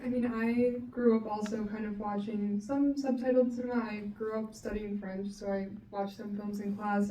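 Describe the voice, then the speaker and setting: Even, mellow young woman in echoey room. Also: mid-range voice, highs cut off. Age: 20s. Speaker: film student and panelist. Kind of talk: casual panel answer. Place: panel event room with ambient noise. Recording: roomy distant mic, faint noise.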